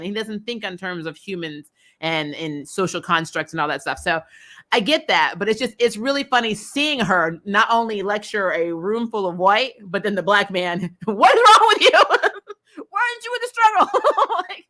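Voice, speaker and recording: A woman hosting a casual, opinionated TV recap, talking in an animated, exasperated way with swearing and frequent laughter. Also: mid-range voice, moderate pace. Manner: acts out imagined dialogue. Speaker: host of a TV recap show. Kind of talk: casual opinionated review commentary.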